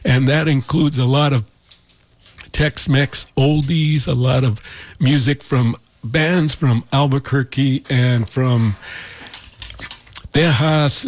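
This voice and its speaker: A man hosting a community radio music show, his voice raspy from allergies, talking in an easygoing, rambling way. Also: mid-range voice, dry recording. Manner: easygoing, rambling, chuckles often. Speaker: radio DJ host. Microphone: studio mic.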